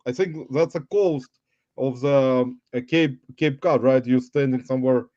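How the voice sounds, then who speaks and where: A man's voice: mid-range voice, mellow, dry recording. Man, forties, Russian accent, rambling livestream host, muffled lo-fi audio, dry sound. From Uzbekistan. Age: 40s. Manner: rambling, halting, addresses viewers as guys. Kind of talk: casual livestream chat.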